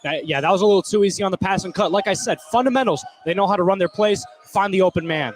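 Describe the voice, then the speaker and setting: A man working as a color commentator, giving conversational basketball analysis in a halting way, repeating words as he thinks aloud. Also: high-pitched. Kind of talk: conversational live sports analysis.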